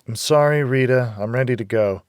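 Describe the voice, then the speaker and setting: A man's voice: medium pitch, a little fast, clean tone, no room sound, studio quality, lightly acted. A man voicing a wary, frightened young girl in an audiobook. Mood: apologetic, timid. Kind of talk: audiobook character voice.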